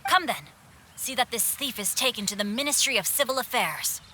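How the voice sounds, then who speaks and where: A man's voice: very high voice, expressive, a little fast, pushed and bright, slight background noise, heavily acted. A voice actor playing a refined, gallant young nobleman in a video game, with formal, courtly phrasing.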